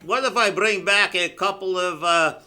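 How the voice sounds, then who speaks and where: A man hosting a radio show, trading joking banter with quick, wisecracking comebacks. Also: sits high, sharp tone. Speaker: radio host.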